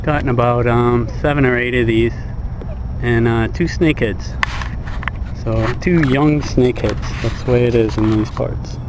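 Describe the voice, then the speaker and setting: A man in his forties on a small fishing boat, chatting excitedly to an action camera and his dog about his catches. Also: mid-range voice, measured pace, background noise. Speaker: fishing vlogger. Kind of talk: casual outdoor commentary to camera and dog. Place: small fishing boat on open water outdoors. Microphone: action camera at close range.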